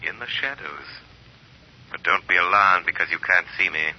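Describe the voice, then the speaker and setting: A man playing a mysterious unseen crime-fighter, smoothly taunting and menacing, in old radio drama style. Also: sits low, normal speed. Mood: mocking menace. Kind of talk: 1930s radio drama acting. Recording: muffled old radio recording.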